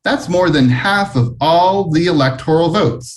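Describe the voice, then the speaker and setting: A man voicing patient schoolteachers in a storybook, explaining things to children in a measured way. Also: fairly high pitch, far from the mic, close to an everyday voice.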